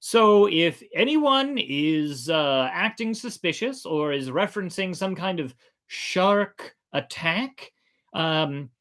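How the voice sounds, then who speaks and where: A storyteller voicing an awkward, vague god who gives halting, hesitant advice in a folk tale. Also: mid-range voice, a bit bright, voice pushed up, exaggerated voice. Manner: hesitant, halting, full of ums.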